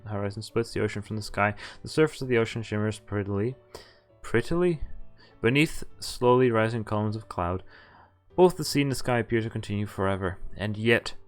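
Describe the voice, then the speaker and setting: A man with a fairly low voice reads visual novel text aloud as a streamer. He sometimes trips on words and goes back over them. Music plays behind him. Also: clear, resonant tone. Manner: stumbles over words and rereads. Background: game music.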